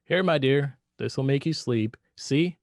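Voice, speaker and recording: A meek, bewildered husband in a radio play, played by a man, speaking very slowly and haltingly. His voice is mid-pitched and dry, with words often repeated as he hesitates. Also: no room sound, natural voice. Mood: falsely soothing. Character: weak, bewildered henpecked husband turning to murder. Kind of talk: radio drama acting.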